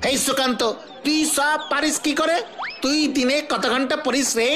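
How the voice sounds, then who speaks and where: A woman's voice: high-pitched, steady tone, moderate pace, lightly acted. A woman voicing a mischievous, curious schoolboy in a cartoon, over background music. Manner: cheeky, curious questioning. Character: cheeky mischievous young schoolboy. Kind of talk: Bengali cartoon dubbing. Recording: music underneath.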